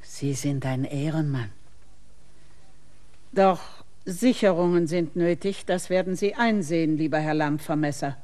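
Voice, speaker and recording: A stern innkeeper. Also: female voice, low-pitched, a little slow, warm tone. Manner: condescending, lecturing. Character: stern middle-aged woman innkeeper, guarding her maid. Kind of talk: radio play acting.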